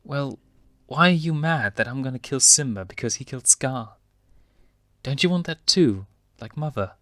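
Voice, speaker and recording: Man doing a plaintive, bright young lion cub. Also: medium pitch, expressive, moderate pace, no echo, pitched above the performer's own voice. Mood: questioning. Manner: plaintive, questioning. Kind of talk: storybook character reading.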